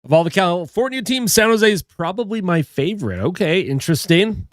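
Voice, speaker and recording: A man's voice: sits high, expressive, fairly quick, no room sound, studio quality. A young man with a head cold and a stuffed-up voice, chatting casually on a hockey live stream, greeting viewers and reading their comments. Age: young adult. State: a little tired.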